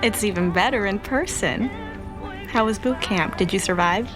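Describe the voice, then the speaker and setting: A woman's voice: medium pitch, normal speed, music in the background, lightly acted. A woman playing a playful, affectionate young woman in a naturalistic, conversational audio drama. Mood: teasing, tender. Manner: teasing, conversational.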